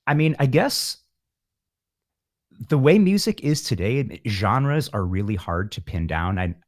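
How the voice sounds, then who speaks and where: Deep-voiced man in reflective conversation, close dry sound. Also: no room sound. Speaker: interview guest, musician.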